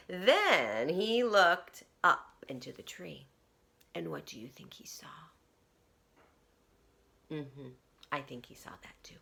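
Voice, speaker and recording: A woman reading a picture book aloud to young children like a teacher, dramatically, dropping into hushed whispers and coaxing listeners with questions. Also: mid-range voice, vocal fry, slight background noise.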